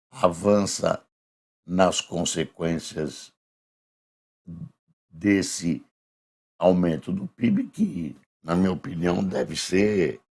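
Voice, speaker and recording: Brief: hoarse Brazilian male news commentator, deep gravelly voice, unhurried chatty delivery. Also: a little mellow. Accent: Brazilian Portuguese. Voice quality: gravelly, husky. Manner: conversational, reads headlines aloud slowly with emphasis. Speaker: news commentator reviewing front pages. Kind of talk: casual spoken press review.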